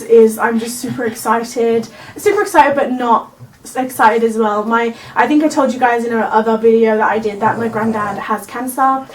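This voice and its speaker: A woman's voice: on the high side, distant mic, slight background noise, rough recording. A young woman from Wales, with an Australian tinge to her Welsh accent, chatting casually to camera in a rambling vlog. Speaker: YouTube vlogger.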